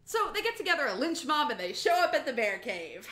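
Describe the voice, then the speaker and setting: A woman's voice: sits high, sing-song, a little fast, bright, forceful tone, echoey room. A woman giving a sassy, emphatic dramatic reading of a humorous story, drawing out words for comic effect. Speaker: amateur reader of a humorous myth retelling. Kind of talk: animated dramatic reading with comic, profane narration.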